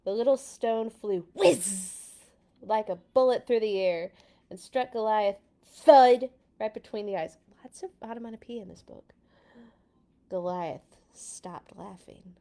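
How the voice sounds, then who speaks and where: Slow, expressive woman reading to kids. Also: mid-range voice. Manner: dramatic, playful sound effects. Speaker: read-aloud host for children. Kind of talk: expressive storybook reading with casual asides.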